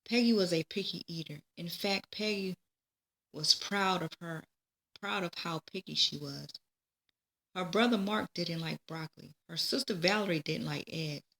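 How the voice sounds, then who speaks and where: A woman reading a children's storybook aloud, with expressive, deliberate phrasing. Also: on the low side, creaky, poor audio. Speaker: storybook reader. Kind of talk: children's read-aloud narration.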